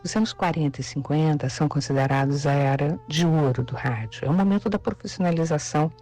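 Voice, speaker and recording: Interviewed expert, explanatory talk, thoughtful pauses, mid-range voice, faint music behind. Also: medium pitch, warm tone. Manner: thoughtful, pausing with fillers.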